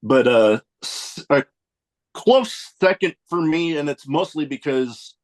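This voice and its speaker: A man's voice: a little high, lively intonation, takes it slowly. A man hosting a podcast, an easygoing Navy veteran who chats about his travels and agrees readily with his guest. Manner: easygoing, agreeable, brief acknowledgements. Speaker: podcast host. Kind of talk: casual podcast chat.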